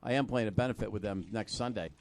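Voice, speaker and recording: Fast-talking musician guest. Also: male voice, fairly low pitch, no room sound. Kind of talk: casual chat.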